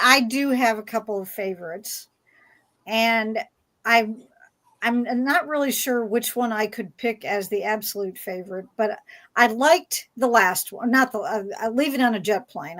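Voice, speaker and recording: A woman's voice: on the high side, unhurried, sharp tone. A woman chatting casually as a fan panelist, in a conversational style. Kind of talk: casual chat.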